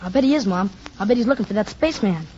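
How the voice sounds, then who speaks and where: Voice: mid-range voice, lively intonation, rapid-fire, warm tone, natural voice. A performer playing an eager, inquisitive young American boy in a 1950s radio drama. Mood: matter-of-fact, curious. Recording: dull vintage vinyl radio recording, hissy.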